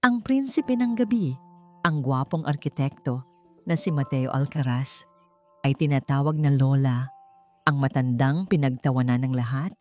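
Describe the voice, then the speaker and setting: Low, mellow Filipino woman storyteller. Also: moderate pace, soft background music. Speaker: story narrator. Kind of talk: dramatic scripted storytelling narration.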